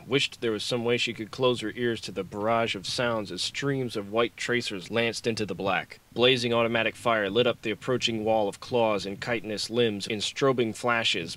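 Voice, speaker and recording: A man's voice: on the low side, fairly bright tone, low-quality recording. A man reading dramatic, scripted action fiction as an audiobook narrator.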